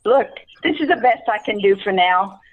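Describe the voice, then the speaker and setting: A woman's voice: mid-range voice, lively intonation, normal speed, muffled recording, lightly acted. A woman playing a tired, impersonal city caseworker in an amateur radio play, brusque and dismissive. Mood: weary, dismissive.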